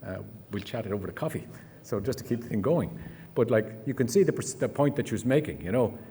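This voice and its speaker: A man's voice: medium pitch, expressive, fast, warm tone. An Irish man giving a chatty conference talk, full of fillers, restarts and anecdotes. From Ireland. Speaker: conference speaker. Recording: long hall reverb.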